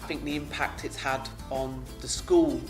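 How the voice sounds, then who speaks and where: Low-pitched woman over clear music. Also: steady tone, moderate pace. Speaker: project coordinator. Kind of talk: casual interview chat.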